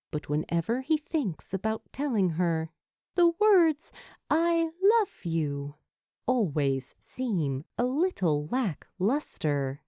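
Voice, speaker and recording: Mellow, expressive woman narrating a story. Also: mid-range voice, no echo, clean studio sound. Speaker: fan fiction narrator.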